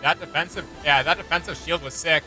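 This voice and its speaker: A man's voice: fairly high pitch, fairly quick, sharp tone, soft background music. A young man hosting a casual reaction chat, enthusiastic and slangy. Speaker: reaction video host.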